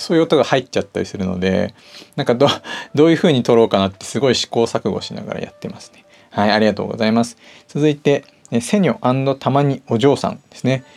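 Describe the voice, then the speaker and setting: A man's voice: fairly low pitch, creaky, a little mellow. A middle-aged man hosting a personal audio show, talking casually and chattily to his listeners. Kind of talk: casual solo talk to listeners. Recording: music playing behind the voice. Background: background music.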